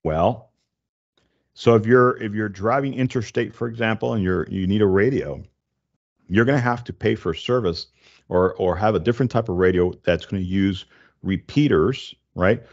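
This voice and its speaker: A man's voice: fairly low pitch, moderate pace, darkish tone, muffled recording. A middle-aged man hosting a casual tech podcast, explaining things conversationally into a close desk microphone. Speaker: podcast host. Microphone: close desk microphone with headphones.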